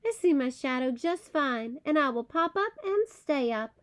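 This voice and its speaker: A self-assured female armadillo read by a woman in a children's storybook. The voice is high and lively, with a folksy, friendly way of making a case. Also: measured pace. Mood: confident. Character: confident, friendly female Texan armadillo, a stranger.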